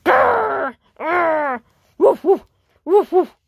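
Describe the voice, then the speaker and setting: A woman voicing a fierce dog in a storybook read-along, with loud growls and repeated woofs. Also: very high voice, big pitch swings, drawn-out words, vocal fry, no room sound, clean studio sound, full character voice.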